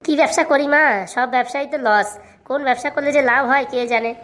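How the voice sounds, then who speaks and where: A man's voice: very high-pitched, fairly bright tone. A man voicing a hapless, grumbling young bachelor turned scrap hawker in a comic cartoon, with colloquial speech. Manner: complaining, colloquial, drawn-out sales calls. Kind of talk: comic cartoon dialogue and street-hawker calls.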